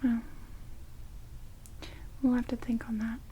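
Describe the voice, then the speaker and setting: A teasing immortal vampire woman, acted out by a woman in an ASMR roleplay. She whispers slowly and softly with a dry, chatty manner. Steady rain hiss runs behind the close binaural recording. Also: on the high side, highs cut off. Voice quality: soft whisper, breathy. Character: sardonic immortal female vampire, rude yet playful caretaker. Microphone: close binaural microphones. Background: heavy rain.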